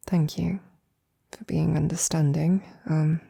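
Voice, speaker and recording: A woman playing a loving, flustered lady knight in an intimate roleplay audio drama, speaking softly and haltingly with tender, teasing affection. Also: deep voice, steady tone, full character voice. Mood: earnest, tender. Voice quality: soft, breathy, husky. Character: loving, flustered female royal knight courting a princess.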